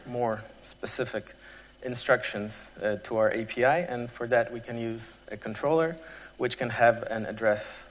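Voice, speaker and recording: Male conference presenter, medium pitch, halting technical delivery with frequent pauses, long hall reverb. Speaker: conference speaker.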